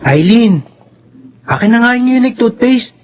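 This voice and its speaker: A man's voice: very high voice, expressive, moderate pace, muffled recording, music in the background. A man playing a bossy, dismissive young older brother in a Filipino radio drama, nagging and lecturing with a Filipino accent.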